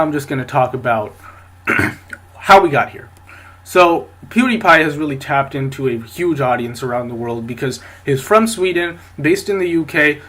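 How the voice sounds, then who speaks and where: A man's voice: mid-range voice, a bit bright. Man with a stuffy nose from a cold. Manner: rambling, many fillers and restarts. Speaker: podcast host. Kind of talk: solo podcast commentary.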